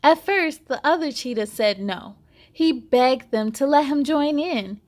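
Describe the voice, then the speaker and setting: A woman with a high voice narrates for young children as a story-time host. She takes her time, phrasing each line deliberately. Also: animated. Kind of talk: animated children's read-aloud narration.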